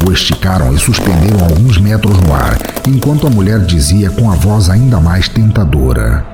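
A man's voice: deep voice, sing-song, normal speed, over music. A man with a Brazilian accent reading a scripted fantasy story as narrator.

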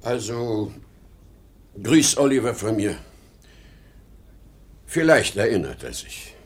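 A man's voice: fairly low pitch, sing-song. An older man in a 1950s German radio drama playing an aging, domineering salesman father, lecturing and emphatic. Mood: subdued. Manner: lecturing, emphatic, quick to flare up.

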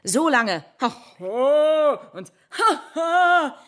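An actress playing a fiery, mocking feminist in a radio drama, speaking in emphatic, sarcastic polemic. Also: female voice, sits high, sing-song, drawn-out words, a bit bright, exaggerated voice. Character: fiery, mocking feminist woman challenging patriarchy.

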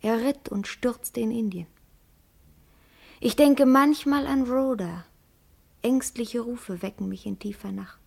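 Contented greying country mother, unhurried, husky, whispery, radio play monologue. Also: a little high, a little mellow, full character voice. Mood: pensive, contented. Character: prematurely grey, contented, clear-eyed country mother.

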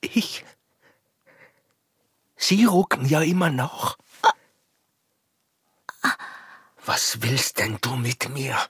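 An actor playing a proud, bitter and smitten border guard in a radio drama, speaking Austrian rural dialect with a hoarse, breathy voice and intense manner. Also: male voice, medium pitch, animated, slow, a bit bright, no room sound, studio quality, heavily acted. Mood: heated desire.

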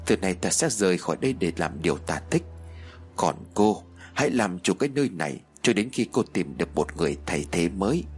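A man's voice: low-pitched, sing-song, brisk, slightly rough, soft background music, full character voice. A man voicing a vengeful female ghost, resentful, gloating and menacing. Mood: gloating menace.

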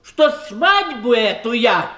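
A woman's voice: a little high, sing-song, takes it slowly, background noise. An actress playing a skeptical, mocking woman in a verse radio comedy, declaiming with indignation and irony. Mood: insistent. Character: skeptical, mocking woman accusing a bride of pretence. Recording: roomy archive radio play recording.

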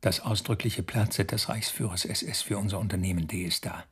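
Husky, very low-voiced ambitious SS officer. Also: male voice, steady tone, brisk, fairly bright tone, exaggerated voice. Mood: satisfied, businesslike. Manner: precise, bureaucratic. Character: ambitious cynical male SS officer, coolly self-regarding. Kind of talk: diary monologue in a radio drama.

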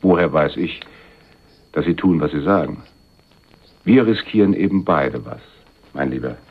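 A shrewd, moneyed man of power with an extremely low voice. He speaks with suave, patronizing calm in a crime radio play, and the recording is slightly muffled. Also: measured pace, husky, full character voice. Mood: hard bargaining. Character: shrewd, wealthy, condescending male power broker in a crime play.

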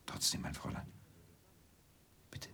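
An actor playing a courteous, troubled loner in his late thirties in a radio drama, with a formal, hesitant, often hushed delivery. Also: unhurried. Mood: hesitant, earnest. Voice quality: husky, gravelly, often hushed. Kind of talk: German radio drama acting.

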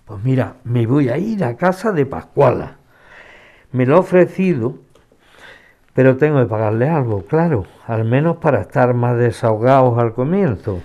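A man's voice: medium pitch, animated, moderate pace, mellow, close to an everyday voice. A man acting an evasive older man in an audio drama, hesitant and defensive as he gets cornered. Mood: defensive, ashamed. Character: evasive older man cornered about leaving his home.

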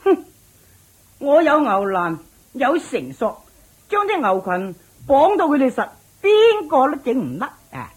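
A woman's voice: high voice, wildly swooping pitch, a little slow, breathy edge, exaggerated voice. A woman playing a proud, boastful rich landlord in a Cantonese radio drama. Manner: boastful, shouting at the sky.

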